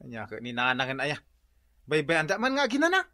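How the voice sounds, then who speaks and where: An actor playing a lazy, whining husband in an Ilocano comedy radio drama, with an Ilocano accent. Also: male voice, on the high side, wildly swooping pitch, a little fast, pushed and bright, no echo, studio quality, heavily acted. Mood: lazy, complaining. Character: lazy, jobless husband, whining and pleading with wife.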